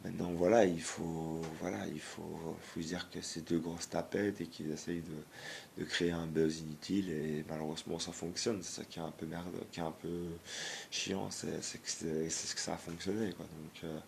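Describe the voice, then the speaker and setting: A man, hoarse from a cold, talks in a deep, gravelly voice. He hesitates often, restarting phrases, yet his judgments come out blunt. A faint hiss of noise sits behind him. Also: flat delivery, normal speed, a little mellow. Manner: hesitant, many fillers and restarts; blunt, disapproving. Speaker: YouTuber. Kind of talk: casual vlog rant.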